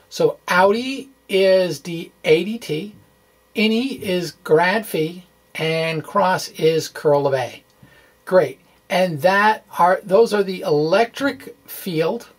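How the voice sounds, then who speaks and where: Chatty man explaining physics, halting and wry. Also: high voice. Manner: chatty, folksy, wry asides, halting delivery. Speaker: physics blogger presenting a lesson. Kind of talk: informal explanatory lecture, dramatic blog reading.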